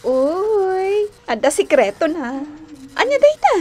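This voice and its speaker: An actress playing a playful, teasing young office colleague in a radio drama, with a Filipino accent. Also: female voice, very high-pitched, very animated, slow pace, a little mellow, exaggerated voice. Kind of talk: Ilocano radio drama acting.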